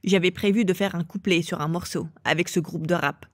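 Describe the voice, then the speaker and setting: A woman's voice: lowish voice, steady tone, fairly quick, dry recording. Woman giving a personal testimony, dry sound. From England. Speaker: interview guest telling her life story.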